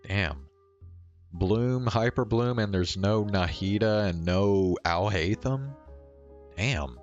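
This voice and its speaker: Male game streamer, deep and unhurried, casual grumbling commentary, curses freely, game music behind. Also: lively intonation, highs cut off. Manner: grumbling, mildly exasperated, swearing freely. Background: video game music.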